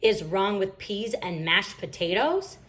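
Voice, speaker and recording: A woman acts out a grumpy storybook father bear who thunders his complaints. The delivery swings widely in pitch, stern, bossy and exasperated, with heavy emphasis. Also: animated, unhurried, fairly bright tone. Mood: angry, exasperated. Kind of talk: children's storybook read-aloud.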